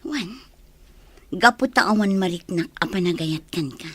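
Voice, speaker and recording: An actress with an Ilocano accent and husky voice playing a frank young woman in a radio drama. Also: lowish voice, lively intonation, measured pace, fairly bright tone. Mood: firm, frank. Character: frank, firm young woman rejecting an unwanted suitor.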